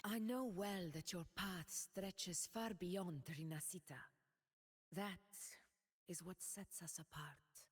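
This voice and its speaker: Proud noble ruler in a game, solemn, measured, slow-spoken, echoing hall. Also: female voice, on the low side, breathy edge. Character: proud noble woman ruler, steadfast warrior. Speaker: game character voice lines. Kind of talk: game voice lines.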